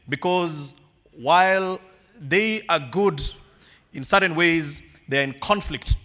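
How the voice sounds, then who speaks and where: A man giving a formal keynote address in a large hall, speaking in an emphatic, measured way with frequent pauses. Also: a little high, a bit bright.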